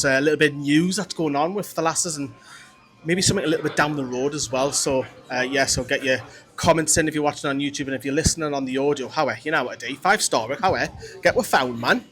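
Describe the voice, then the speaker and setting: A man's voice: fairly high pitch, fairly bright tone. A man hosting a casual football podcast, chatty and rambling with frequent restarts. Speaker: podcast host. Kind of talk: casual chat.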